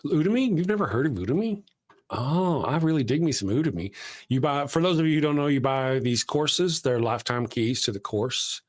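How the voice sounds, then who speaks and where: A man's voice: mid-range voice, animated, a little fast. Chatty self-deprecating man hosting live. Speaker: host of a live show. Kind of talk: casual live-stream chat.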